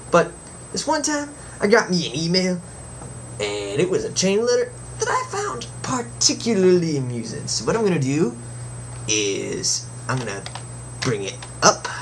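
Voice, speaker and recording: A man playing a cocky superhero who delivers a comic monologue in a folksy, theatrical, opinionated manner. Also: on the high side. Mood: amused, chatty. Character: cocky adventuring male superhero chatting to fans. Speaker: show host in character. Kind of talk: comic monologue, reading a letter aloud. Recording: background noise behind the voice.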